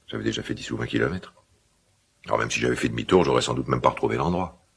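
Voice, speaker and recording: A guilt-ridden middle-aged father in a naturalistic radio drama. His voice is extremely deep, full of creak and very husky. He confides haltingly, with an awkward tenderness. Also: full character voice. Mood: guilty, shaken. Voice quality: gravelly, husky smoker's voice.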